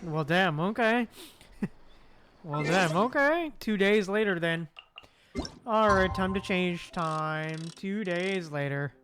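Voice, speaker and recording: A woman's voice: fairly low pitch, animated, takes it slowly, a bit bright, slight background noise. A woman streaming a video game with casual commentary, sometimes reading dialogue choices aloud. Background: game music.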